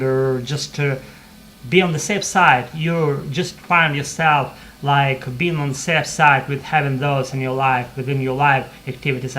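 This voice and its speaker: A man whose first language is Russian, talking at length in halting English from his armchair at home, with many fillers and restarts. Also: medium pitch, a bit bright, slight background noise. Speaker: vlogger practicing English. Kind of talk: rambling spoken English practice monologue.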